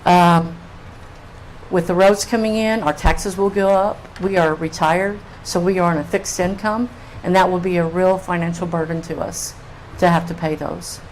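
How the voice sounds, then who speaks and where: A woman's voice: on the low side, fairly even intonation, normal speed, darkish tone, rough recording. An older woman giving informal public testimony as a resident. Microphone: public comment microphone. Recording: faint room noise on meeting mic.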